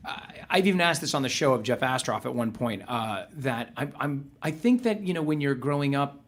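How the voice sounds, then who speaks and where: A man's voice: mid-range voice, lively intonation, a little fast. A man hosting a podcast, who asks eager clarifying questions and keeps affirming his guest. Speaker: podcast host. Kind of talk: casual interview.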